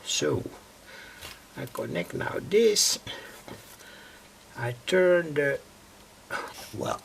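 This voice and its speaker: Slow gravelly man at his workbench. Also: a little high, expressive, noisy background. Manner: rambling, self-correcting, thinking aloud. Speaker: radio hobbyist demonstrating equipment. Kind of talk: casual workbench demonstration.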